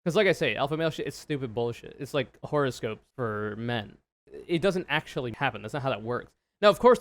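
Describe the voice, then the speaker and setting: Man, low voice, casual reaction commentary, blunt, profane, rambling explanations. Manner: blunt, opinionated, swears freely; explains in loose run-on sentences with "like" and "right?". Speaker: streamer reacting to a video.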